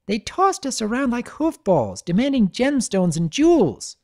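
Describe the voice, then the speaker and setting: A woman's voice: on the high side, sing-song, unhurried, dark tone, voice pushed up. A woman doing a bubbly little filly. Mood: fibbing. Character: sweet, cheeky little filly, younger sister.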